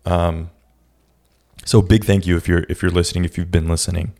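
A young man hosting his own podcast, talking in a casual, reflective way with a raspy voice and many restarts. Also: low-pitched, little pitch movement, brisk, a little mellow. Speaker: podcast host. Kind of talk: casual solo podcast talk.

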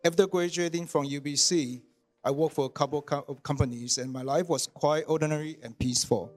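A man's voice: on the high side, fairly even intonation, soft background music. Earnest man in his forties. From Taiwan. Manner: earnest, measured. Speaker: church member giving testimony. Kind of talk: read personal testimony.

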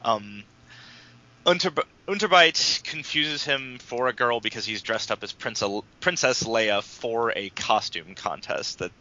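A man's voice: mid-range voice, measured pace, pushed and bright. A man in a casual podcast discussion, explaining things at length with frequent restarts. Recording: dull lo-fi recording.

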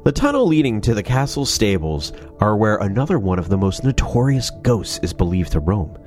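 A man's voice: lowish voice, sing-song, normal speed, darkish tone, soft background music. A man with a stuffy, nasal voice from a sinus cold, casually reading ghost stories into a microphone with sarcastic asides. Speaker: paranormal channel host. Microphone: studio microphone.

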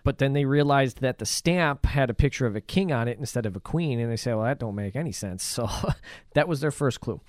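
A man's voice: mid-range voice, brisk, dry recording. Casual man with a dry, close sound. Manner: informal, profane. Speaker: podcast co-host. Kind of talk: casual chat, paraphrasing a news article.

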